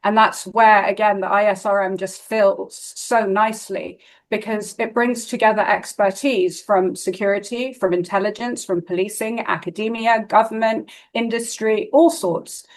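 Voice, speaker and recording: Woman, risk specialist, fluent and articulate, steady conversational delivery. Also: medium pitch, moderate pace, few pauses, low-quality recording. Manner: fluent and articulate, tags points with "right?". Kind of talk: casual conversation.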